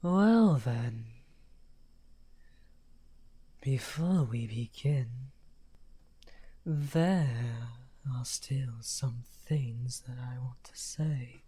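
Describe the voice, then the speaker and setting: A man playing a misunderstood outcast necromancer wizard, smugly amused and taunting, in an unhurried, breathy, often half-whispered ASMR roleplay. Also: mid-range voice, dark tone. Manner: unhurried, taunting, drawn-out phrasing. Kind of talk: slow theatrical ASMR roleplay.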